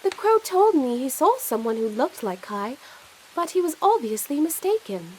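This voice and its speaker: Very high-voiced, gentle little girl, acted by a woman. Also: very animated, normal speed, poor audio. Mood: earnest, downcast. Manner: polite, earnest. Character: gentle, polite, earnest little girl traveller. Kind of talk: audio play acting.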